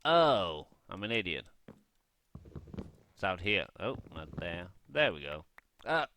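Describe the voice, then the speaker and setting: A man's voice: deep voice, sing-song, slow, sharp tone, slight background noise. A man giving casual gameplay commentary, rambling and thinking aloud as he shares blunt opinions. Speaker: gaming vlogger.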